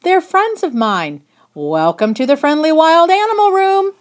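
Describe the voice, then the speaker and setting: A woman voicing an eager young boy, a proud storybook tour guide who announces everything loudly and excitedly. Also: high voice, a little slow, pitched above the performer's own voice. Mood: cheerful, reassuring. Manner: loud excited announcing and commanding.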